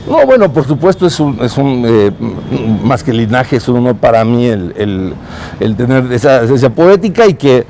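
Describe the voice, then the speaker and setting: Hesitant man with a mellow voice. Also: mid-range voice, big pitch swings, normal speed, a little hiss or hum. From Mexico. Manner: hesitant, many fillers and restarts. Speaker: consul. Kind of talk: street interview. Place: outdoors on a city street.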